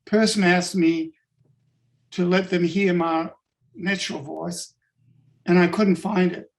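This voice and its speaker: Halting man with a South African accent. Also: sits high, fairly even intonation. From Canada. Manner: halting, hesitant, many fillers and restarts. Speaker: workshop leader. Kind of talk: personal account in group discussion.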